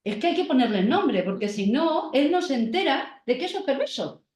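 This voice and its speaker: A woman's voice: high-pitched, big pitch swings, fairly quick, darkish tone. A woman speaking in a round-table discussion as a therapist, emphatic and insistent. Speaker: panelist, Gestalt therapist. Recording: roomy recording.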